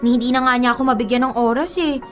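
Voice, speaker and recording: A woman's voice: sits high, steady tone, racing, a bit bright, soft background music. An actress with a Filipino accent playing a giddy, girlish young bride-to-be in a radio drama, gushing to her friend. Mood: earnest.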